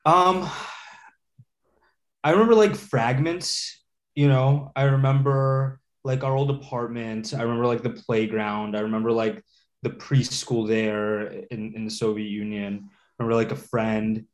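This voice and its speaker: A man's voice: medium pitch, steady tone, a little slow. A man from Latvia, a guest in a casual interview, talking thoughtfully with many fillers and restarts.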